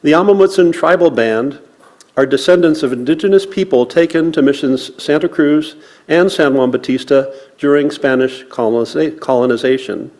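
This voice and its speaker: A man speaking at a worship service, reciting in a solemn, measured way with deliberate pauses. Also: mid-range voice, a little mellow. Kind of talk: land acknowledgement and poetry recitation to a congregation.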